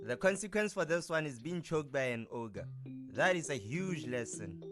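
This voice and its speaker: A man's voice: a little high, expressive, moderate pace, natural voice. A man voicing a stern listener who makes firm, moralizing remarks about the story. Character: stern male listener who judges the stubborn girl. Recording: music playing underneath. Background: music.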